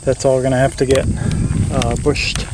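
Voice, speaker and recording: A man's voice: mid-range voice, flat delivery, moderate pace, heavy background noise. A man giving casual hands-on commentary as host of a workshop build video, plain-spoken and thinking aloud.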